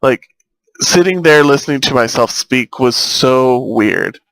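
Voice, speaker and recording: A man's voice: medium pitch, measured pace, clean tone, no room sound, rough recording. A young man with a slight lisp chatting casually while playing a game, recorded on a cheap earbud microphone. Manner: chatty, rambling, easily excited. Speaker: gaming YouTuber. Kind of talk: casual let's-play commentary. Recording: thin, robotic-sounding earbud mic.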